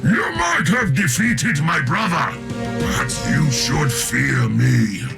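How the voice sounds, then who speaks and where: A man voicing a menacing, gloating alien villain in a video game, with a gravelly, theatrical delivery. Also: on the high side, sing-song, slow pace, heavily acted. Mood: threatening, shouting. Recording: loud music behind the voice.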